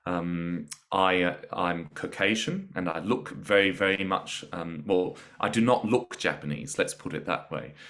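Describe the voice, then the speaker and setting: A man with a slight British accent, speaking as a guest on a podcast about teaching teenagers, measured and reflective with frequent pauses. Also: low voice, a bit bright. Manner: measured, reflective, pausing often. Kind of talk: podcast interview.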